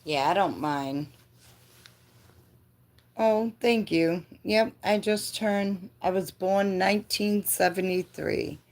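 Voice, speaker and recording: A woman's voice: medium pitch, takes it slowly. A woman in her fifties chatting casually with live viewers, laughing often and answering comments. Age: 50s. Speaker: livestream host.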